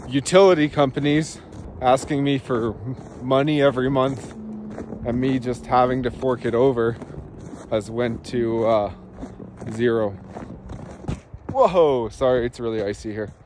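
Thirties male vlogger, easygoing and rambling, mid-pitched, phone at arm's length, faint background noise. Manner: relaxed, rambling with many fillers. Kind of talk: casual vlog chat. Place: in a truck and outdoors on a snowy trail. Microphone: phone held at arm's length.

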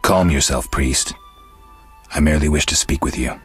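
An actor playing a stoic, world-weary monster hunter in a fantasy game, terse and deadpan. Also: male voice, very deep voice, moderate pace, faint music behind. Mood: calm, terse. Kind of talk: fantasy video game dialogue.

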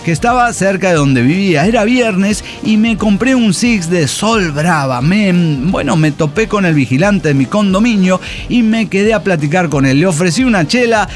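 A man's voice: high voice, expressive, normal speed, few pauses. A man hosting a radio show, reading listener messages aloud and bantering in an irreverent, slangy, profane way. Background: music bed.

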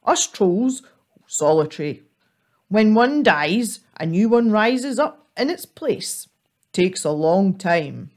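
A woman's voice: medium pitch, expressive, slow, darkish tone. A woman voicing a big, lonely male troll in a storybook, who talks bluntly in clipped, broken phrases. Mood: solemn.

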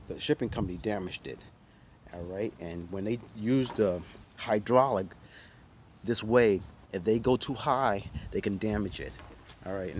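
A man in his forties hosting a casual DIY walkthrough, talking loosely with many fillers and restarts, filmed on a handheld phone in his driveway and garage. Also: on the low side. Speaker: DIY video host. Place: outdoors in a driveway and in a home garage. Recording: windy outdoor phone audio with clicks. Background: wind noise.

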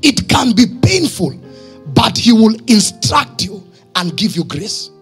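Casting: Kenyan male pastor, high husky voice, emphatic pulpit delivery with calls to the congregation. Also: very animated, slow pace, soft background music. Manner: emphatic, with short phrases and questions to the congregation. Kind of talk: sermon. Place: church stage, indoors. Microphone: handheld microphone.

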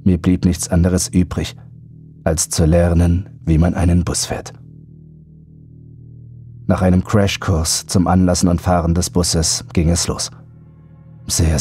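A man's voice: low voice, warm tone. A man reading a scripted first-person horror story as an audiobook narrator. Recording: background music under voice.

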